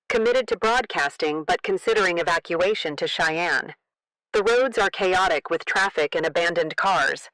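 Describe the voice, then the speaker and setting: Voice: medium pitch, pushed and bright, dry recording, low-quality recording. A performer playing a radio broadcaster who stays on air amid a deadly outbreak, reading scripted emergency reports.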